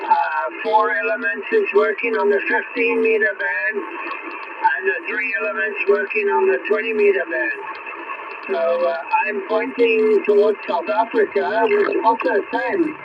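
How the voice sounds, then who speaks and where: Noisy radio voice, very high-pitched man. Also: wildly swooping pitch, a little slow. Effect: voice heard over shortwave radio. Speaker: amateur radio operator. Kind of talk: amateur radio contact, casual exchange. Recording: dull, noisy shortwave radio reception.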